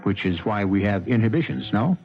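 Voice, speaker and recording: A man hosting a 1970s radio mystery with scripted, theatrical narration, gravelly, wry, musing and deliberate. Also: fairly low pitch, expressive, background noise. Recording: dull lo-fi 1970s radio broadcast. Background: music.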